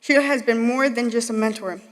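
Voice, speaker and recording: A young woman reads a graduation speech with care, tripping over a word now and then. Her voice is a little high. The sound rings with a long hall reverb. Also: brisk. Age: young adult. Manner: reads carefully, occasional stumbles. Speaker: graduating student speaker. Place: large hall.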